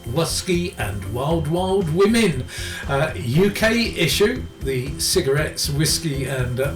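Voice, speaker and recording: A man hosts a soul radio show in a fairly high male voice. His delivery is informal and a little hesitant, with careful attention to record details. Music plays behind him in a roomy sound. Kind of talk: casual music radio presenting with record facts.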